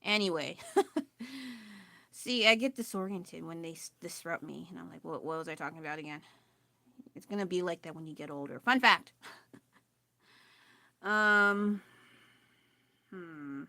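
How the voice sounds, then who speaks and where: A woman chatting casually while painting on a live stream at home, self-deprecating and quick to laugh, with a loud voice. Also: lowish voice, vocal fry, dry recording. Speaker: artist livestreaming her painting. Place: at home with family nearby.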